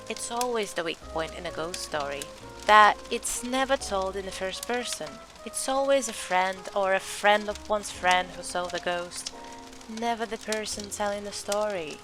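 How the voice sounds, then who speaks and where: A woman's voice: mid-range voice, normal speed, music in the background, close to an everyday voice. A woman acting in an audio drama as an earnest young believer in ghosts, telling her story with dramatic emphasis.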